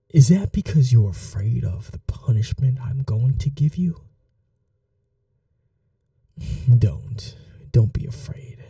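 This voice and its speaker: A man's voice: medium pitch, dry recording, clean studio sound, far from the mic, exaggerated voice. A man voicing a whispering, possessive vampire. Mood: accusing. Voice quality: soft whispering, intimate. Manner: shifts between menace and desperate pleading. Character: obsessive yandere male vampire, grieving and possessive. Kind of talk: ASMR audio roleplay.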